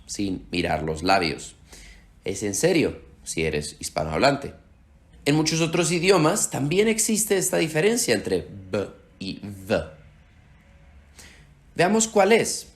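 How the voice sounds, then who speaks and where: A man whose first language is Slovak, teaching a Spanish pronunciation lesson and slowing down to spell out sounds clearly. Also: mid-range voice.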